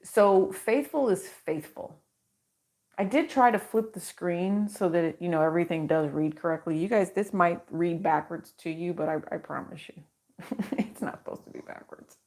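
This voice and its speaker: A woman with a naturally low, deeper-set voice hosts a casual livestream card reading. She talks in a chatty, reassuring way, stringing affirmations together into her microphone. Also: normal speed, darkish tone. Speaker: tarot reader and channel host.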